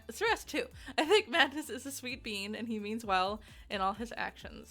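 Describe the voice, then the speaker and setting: Bright-voiced woman, casual game reviewer over music. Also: mid-range voice. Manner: giggly, often laughing as she talks.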